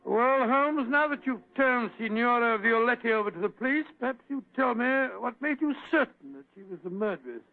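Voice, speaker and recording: An actor playing a bumbling, stammering doctor sidekick in an old radio drama. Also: male voice, very high voice, animated, fairly quick, hardly any pauses. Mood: curious. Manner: stammering, hesitant. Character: bumbling, flustered middle-aged doctor sidekick. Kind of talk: 1940s radio acting. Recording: tinny old radio broadcast.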